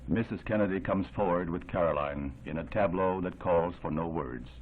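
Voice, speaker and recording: An American man narrating a 1960s newsreel in a solemn, measured, oratorical and dramatic style. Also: deep voice, a little hiss or hum. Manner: grave, measured, oratorical delivery. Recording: tinny 1960s newsreel soundtrack, band-limited. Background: music.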